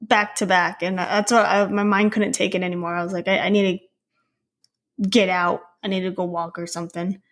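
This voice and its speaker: A woman's voice: fairly low pitch, flat delivery, fairly quick. A woman co-hosting a podcast in casual chat, with an informal, playful, slangy way of talking. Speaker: podcast co-host.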